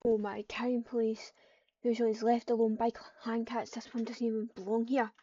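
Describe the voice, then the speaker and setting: A woman's voice: a little high, little pitch movement, brisk, warm tone. A woman casually talking and reading out lines while roleplaying in an online game. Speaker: gamer, video creator. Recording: muffled, distant microphone.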